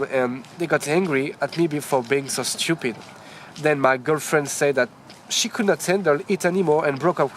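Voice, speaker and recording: A man's voice: a little high, slight background noise, lightly acted. A man, playing a young male law student in a mock news interview who hesitates and corrects himself. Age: 20s.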